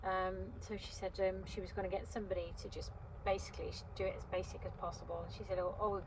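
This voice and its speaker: A woman in her fifties, from Wales, talks to camera from inside her parked van. She rambles and restarts, with plenty of ums, at a middle pitch. A strong wind is clearly heard gusting outside. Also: level tone, fast. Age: 50s. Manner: chatty, meandering, many ums and restarts. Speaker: vlogger. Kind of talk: casual rambling chat to camera. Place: inside a parked van by a river on a windy day.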